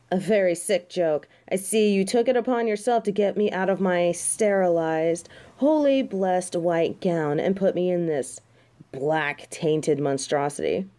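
A woman's voice: on the low side, dark tone. A woman playing a snobby, prickly fallen angel in an audio roleplay, with a haughty, theatrical manner. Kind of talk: audio roleplay acting addressed to the listener.

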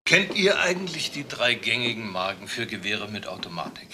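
Male detective, crime radio drama: dry, probing, animated pitch, slight noise. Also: normal speed. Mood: musing. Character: probing, dryly musing male police detective. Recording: faint background noise.

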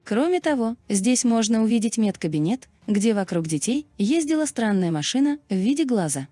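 A woman's voice: a little high, animated, moderate pace, dark tone, no room sound. A woman reading a scripted explainer narration as a narrator. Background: background music.

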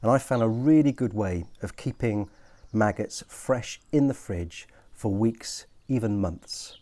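A man's voice: lowish voice, measured pace, warm tone. A man in his sixties explaining a fishing technique in a friendly, practical way, recorded outdoors in a garden with a lapel mic. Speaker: angling presenter. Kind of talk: casual how-to explanation.

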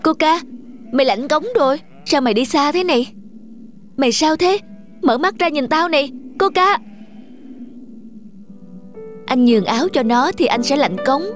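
Woman doing an earnest young shepherd guy, high and loud, music behind. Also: expressive, normal speed, pauses often. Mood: desperate. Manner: loud, anguished calling.

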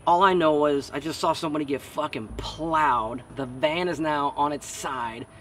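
A man's voice: a little high, moderate pace. Middle aged american guy telling a sweary story outside, blunt, mic a little far, bit of background noise. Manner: animated, blunt, acts out dialogue. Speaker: vlogger telling a personal story. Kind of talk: casual, profane storytelling. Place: outdoors in a garden. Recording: slightly distant outdoor mic, background noise.

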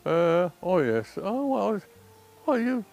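A man in his nineties, a war veteran, haltingly recalling old friends in an interview. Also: high-pitched, sing-song, gravelly, darkish tone, highs cut off, soft background music. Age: 90s. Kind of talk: interview reminiscence. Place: outdoors among greenery.

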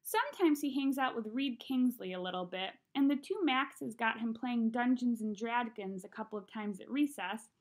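A young woman reads a children's novel aloud in an expressive, conversational way, acting out the dialogue. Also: fairly high pitch, normal speed, hardly any pauses. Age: young adult. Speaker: read-aloud narrator. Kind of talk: expressive audiobook-style reading of a children's novel. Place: small room at home.

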